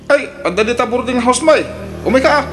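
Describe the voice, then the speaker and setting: A man's voice: very high-pitched, animated, a little fast, a bit bright, soft background music. An actor playing a young man in a troubled romance, in an Ilocano radio drama with a Filipino accent. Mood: casual. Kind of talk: Philippine radio drama acting.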